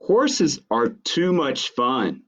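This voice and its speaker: A man's voice: medium pitch, sing-song, drawn-out words, fairly bright tone, full character voice. A man reading the part of a weary, fed-up sheep in a children's storybook. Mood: decisive. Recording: dull lo-fi audio.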